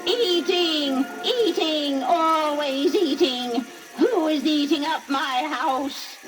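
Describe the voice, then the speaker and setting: A woman's voice: high voice, over music, poor audio. An actress playing a scrawny, wicked old witch who gloats greedily with a cackle on a children's story record. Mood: indignant. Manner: slow, gloating, menacing delivery.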